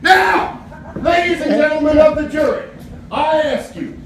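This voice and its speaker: A man on stage playing a sly, bombastic prosecutor with sneering, drawn-out courtroom oratory. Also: extremely high pitch, lively intonation, a faint crowd, full character voice. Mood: accusing, bombastic. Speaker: actor in a stage play. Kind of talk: stage adaptation of a 1950s radio drama. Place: live theatre stage. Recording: echoey theatre with background noise.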